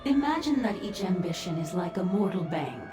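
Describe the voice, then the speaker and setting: A cold otherworldly sorceress speaks in an echoing space over music. Her lowish voice is formal and measured, with the haughty composure of a fantasy game mentor addressing a lesser being. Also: very animated. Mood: composed, imperious. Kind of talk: video game character dialogue.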